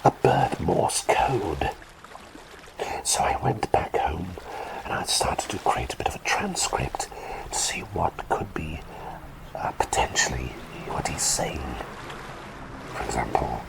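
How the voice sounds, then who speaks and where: A man voicing a quirky male talking bird plague doctor, telling rambling bedtime stories in a soft ASMR whisper. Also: very animated, slow, long pauses, heavily acted, rough recording. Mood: gentle whisper. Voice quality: deep creaky whisper. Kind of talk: ASMR roleplay bedtime storytelling.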